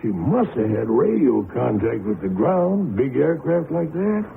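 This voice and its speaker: A man's voice: medium pitch, sing-song, measured pace, gravelly. A man playing a cautious, kindly middle-aged American country husband, folksy and hesitant as he reasons things through in a radio drama. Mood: wary, puzzled. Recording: muffled lo-fi radio broadcast with noise.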